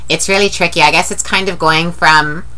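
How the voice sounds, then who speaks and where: A woman's voice: lowish voice, fairly even intonation, moderate pace, pushed and bright. A woman with a nasal voice, talking in a rambling, candid video diary and swearing casually. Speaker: vlogger.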